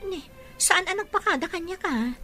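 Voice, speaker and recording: A woman's voice: very high voice, lively intonation, normal speed, sharp tone, faint music behind, full character voice. A woman playing a fretful, suspicious young girlfriend in a radio drama. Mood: worried. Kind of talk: Ilocano radio drama acting.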